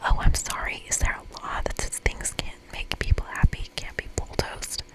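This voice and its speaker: A woman's voice: normal speed, close to an everyday voice, poor audio. A woman voicing a brash, greedy, condescending businessman in a whispered game read-aloud. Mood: smug, dismissive. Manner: gruff, bossy, condescending.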